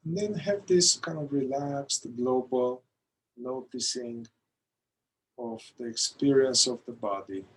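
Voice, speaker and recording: A man leading a guided meditation in a calm, gentle manner, pausing between short phrases. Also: medium pitch, husky, rough recording. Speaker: meditation guide, somatic therapist.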